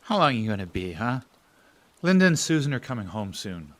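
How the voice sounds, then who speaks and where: A man's voice: mid-range voice, very animated, brisk, no echo. A man acting a frustrated, caring family-man brother in an audio drama, blunt and emphatic. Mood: impatient. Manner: blunt, emphatic, swinging between exasperated and hushed.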